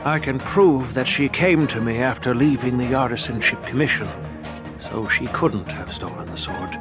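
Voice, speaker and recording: Man acting composed game authority figure, mid-pitched male voice, measured, courteous, formal, clear music behind. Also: expressive, close to an everyday voice. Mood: calm, firm.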